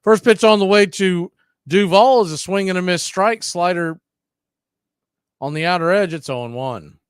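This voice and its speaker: Male play-by-play announcer, slightly higher voice, blunt, opinionated, plain fan-radio caller. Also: moderate pace, smooth, dry recording, clean studio sound. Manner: opinionated, blunt asides between pitches. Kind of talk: fan-stream baseball play-by-play commentary.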